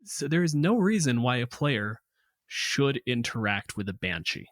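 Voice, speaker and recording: A man's voice: medium pitch, big pitch swings, measured pace, a bit bright, no room sound, studio quality. A man co-hosting a tabletop gaming podcast, casually analytical, articulate, emphatic and opinionated. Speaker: podcast co-host. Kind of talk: casual analytical discussion of tabletop game monsters.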